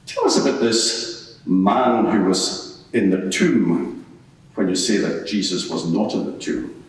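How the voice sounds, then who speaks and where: A man's voice: on the low side, animated, slow pace. A man playing a probing, sceptical courtroom advocate who fires insistent, pointed leading questions in a dramatised cross-examination. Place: large reverberant courtroom. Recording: echoey hall, distant microphone.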